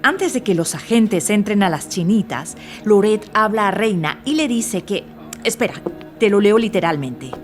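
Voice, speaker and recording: An actress playing a determined, principled foreign investigative reporter in an audio drama, with an assertive, earnest delivery. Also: female voice, medium pitch, expressive, moderate pace, soft background music, lightly acted. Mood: urgent. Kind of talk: Spanish audio drama acting.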